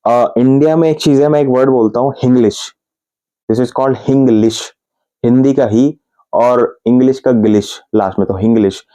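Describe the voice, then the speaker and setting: A man from India with an Indian accent, teaching English grammar in Hindi and patiently repeating example sentences slowly, word by word. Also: mid-range voice, mellow, rough recording.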